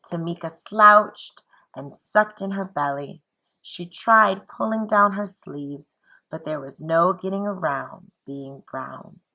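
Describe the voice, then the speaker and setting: Slow, bright-voiced woman reading to children. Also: on the low side, creaky. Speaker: teacher reading bedtime stories aloud. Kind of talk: expressive children's read-aloud and encouraging address.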